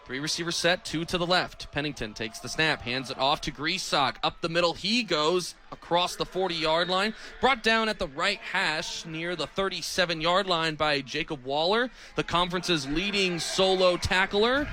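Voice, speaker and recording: A man in his twenties with an American accent calling football play-by-play on the radio in an energetic, descriptive way. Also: a little high, moderate pace, few pauses. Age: 20s.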